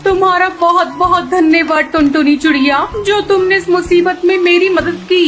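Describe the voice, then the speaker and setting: A woman's voice: very high-pitched, fairly even intonation, normal speed, a bit bright. A woman voicing a humble, grateful female crow in a children's cartoon story.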